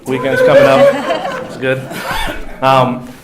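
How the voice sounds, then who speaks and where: A congested man with a nasal voice and a Minnesotan accent speaks at a meeting in a mid-range pitch. His delivery is halting, full of ums and restarts, as he walks an audience through his work as an organizer. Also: wildly swooping pitch, a loud crowd, very noisy. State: congested from a cold. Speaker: coalition organizer. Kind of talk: conference talk. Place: foundation meeting room.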